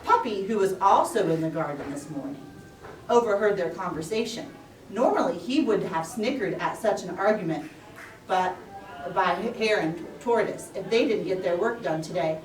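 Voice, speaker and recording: A woman telling a puppet story to young children, with simple, deliberate, expressive phrasing. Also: mid-range voice, slight background noise. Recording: roomy echo, distant microphone.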